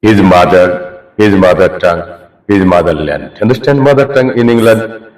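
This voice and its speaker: Bangladeshi male journalist, low voice, lecturing interviewer, roomy echo. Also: moderate pace, a little mellow. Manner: lecturing, repeats points and asks "isn't it?".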